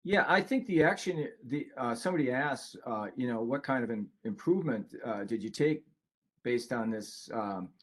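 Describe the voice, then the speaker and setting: A man with a mid-pitched voice co-presents an informal webinar. He talks as an author addressing an online group. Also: animated, moderate pace.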